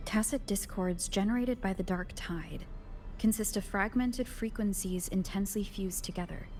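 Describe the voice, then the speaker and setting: A woman voicing a composed, analytical young video game heroine in a measured, serious, scripted delivery. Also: medium pitch, dark tone, soft background music, lightly acted. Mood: clinical, detached. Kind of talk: scripted game dialogue and log narration.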